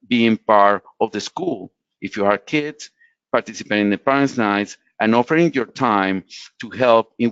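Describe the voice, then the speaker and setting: A man's voice: fairly low pitch, smooth, no echo, lo-fi sound. Latin American man giving career advice. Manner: earnest, explanatory, frequent restarts. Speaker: webinar speaker.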